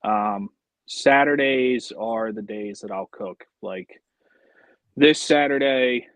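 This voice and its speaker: A man's voice: mid-range voice, slow, fairly bright tone, no echo. A man, a podcast guest, telling personal stories in a casual conversational way.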